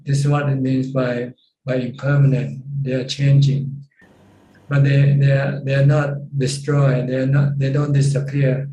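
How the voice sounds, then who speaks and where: A man with a Thai accent teaching as a Buddhist monk in an informal question-and-answer talk, halting and plain-spoken. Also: mid-range voice, flat delivery, warm tone. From Thailand. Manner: halting, with pauses and repeated phrases. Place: video call from a roomy space. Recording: roomy distant mic with background noise.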